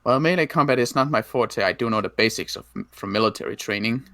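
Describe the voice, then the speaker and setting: A man playing a cautious ex-military zombie apocalypse survivor in an improvised game roleplay, speaking haltingly and often rephrasing. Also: mid-range voice, sharp tone, no room sound, close to an everyday voice. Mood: cautious, serious.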